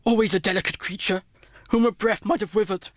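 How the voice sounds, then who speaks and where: A man's voice: sits high, fairly even intonation, a little fast, no echo, lightly acted. A man playing an anxious old miser, earnest and plaintive, in a radio play. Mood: regretful.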